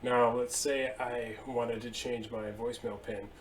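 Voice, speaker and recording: A man's voice: mid-range voice, a little slow. Guy in his 30s talking thru a screen demo, easygoing, bit of faint hiss behind. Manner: casual, steady step-by-step explaining with fillers. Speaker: IT tutorial presenter. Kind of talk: screen-share software walkthrough. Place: indoor room at a desk.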